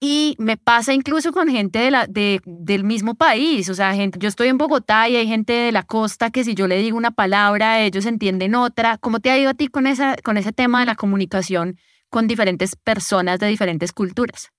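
A woman's voice: a little high, normal speed, no room sound, studio quality. A woman from Colombia hosting a podcast, asking long, friendly, explanatory questions and sharing her own experiences. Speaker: podcast host. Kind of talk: interview questions and anecdotes. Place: recording in Bogotá.

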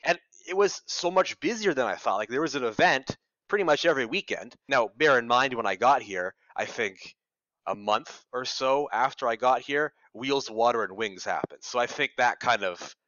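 A man's voice: medium pitch, pushed and bright, dry recording. A young man with a Canadian accent from Ottawa, a radio reporter chatting casually in an interview and telling stories in a self-deprecating way on dull lo-fi audio. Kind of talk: casual conversational storytelling.

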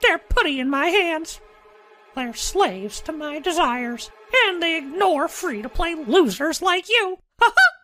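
A very high, piercing cartoon mouse villain, squeaky and giggly. He is a mean little male crime boss who mocks everyone in a bright, slow, swooping delivery. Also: lively intonation, faint music behind, exaggerated voice. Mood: gloating. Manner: mocking, taunting. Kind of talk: parody radio drama acting.

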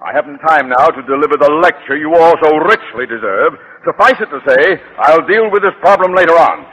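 An actor playing a pompous, sarcastic middle-aged school principal in an old radio comedy, speaking with elaborately formal scorn. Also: male voice, a little high, expressive, brisk, a bit bright. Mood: indignant. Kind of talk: 1940s-50s radio comedy acting. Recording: slightly noisy old radio recording, audience murmur.

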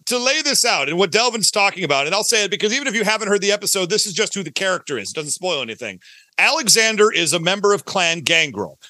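A man's voice: high-pitched, bright, forceful tone, no echo. A talkative man speaking casually and emphatically as a podcast guest, often repeating phrases to drive a point home. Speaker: podcast guest, audio drama creator. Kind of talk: casual interview chat.

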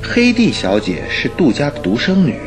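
A man's voice: on the high side, huge pitch swings, normal speed, over music. An actor playing a curious, good-natured newlywed male doctor in a radio drama, with a conversational, inquisitive manner. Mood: curious, thoughtful.

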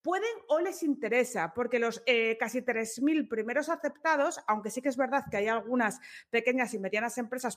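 A young woman from co-hosting a podcast, explaining work news with jokes and asides. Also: on the high side. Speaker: podcast co-host. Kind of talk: casual podcast chat.

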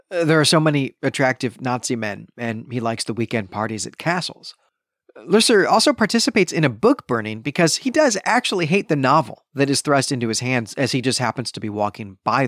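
A man with a mid-pitched voice talks through a book as a podcast host. He explains deliberately and with emphasis, often restating a phrase to get it exact. The sound is dry, with little trace of the room. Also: no echo. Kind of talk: literary discussion, explanatory book commentary.

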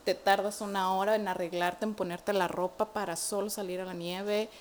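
Young Mexican woman, lively guest storyteller, faint background noise. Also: mid-range voice, moderate pace. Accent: Mexican Spanish. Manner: animated storytelling with many fillers. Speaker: guest, immigrant to Canada. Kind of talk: casual interview chat.

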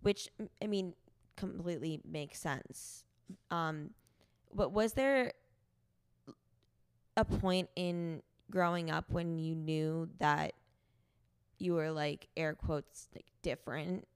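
A woman's voice: deep voice, takes it slowly, long pauses, clean tone, dry recording, studio quality. A woman, a podcast host in her late twenties, chatting informally in an interview and saying "like" often. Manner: chatty, informal, liberal use of "like". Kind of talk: casual in-person interview.

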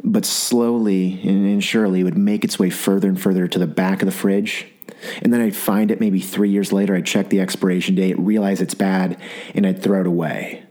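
A man's voice: low voice, fairly quick. A young adult man hosting a podcast with a scratchy, sore-throat voice, talking through a rambling comic monologue with lots of restarts. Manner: rambling, animated storytelling with repeated restarts. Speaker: podcast host. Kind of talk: comedic solo monologue.

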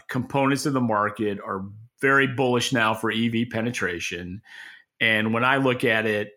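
A man's voice: mid-range voice, vocal fry, bright, forceful tone. A man speaking as a podcast co-host, giving casual, opinionated market commentary in an emphatic way. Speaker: podcast co-host and industry analyst.

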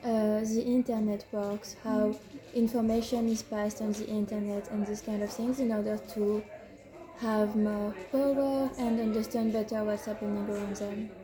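A woman's voice: mid-range voice, level tone, normal speed, crowd noise. A woman from France, a librarian, answering interview questions in a hesitant way, stopping to look for words.

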